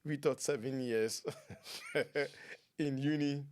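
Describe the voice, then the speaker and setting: A man, telling his life story as a guest in a relaxed, laughing way. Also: medium pitch, slow pace. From Ghana. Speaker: guest, clinician. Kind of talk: storytelling interview talk.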